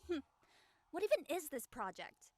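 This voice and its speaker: A woman's voice: high-pitched, very animated, moderate pace. A woman playing a sassy, tattling ten-year-old little sister in an audio drama.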